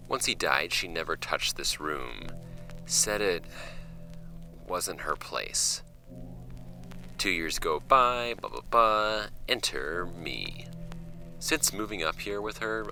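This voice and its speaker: An actor playing a wry, offhand young man narrating his homemade podcast about his late uncle's files in an audio drama, with a gravelly voice. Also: pushed and bright, exaggerated voice. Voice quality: very deep, gravelly. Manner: wry, offhand, halting when ad-libbing, measured when reading.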